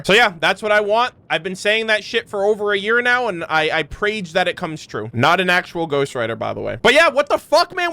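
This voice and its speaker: A man's voice: high-pitched, a bit bright. A man doing casual game reaction commentary, informal and sweary, switching between reading lore aloud and giving his own takes. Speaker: gaming streamer and lore reactor. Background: background music.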